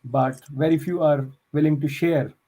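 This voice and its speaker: A man's voice: a little high, steady tone, normal speed, crowd noise. A man, a tech entrepreneur from Pakistan, speaking as a friendly, earnest guest on a video call.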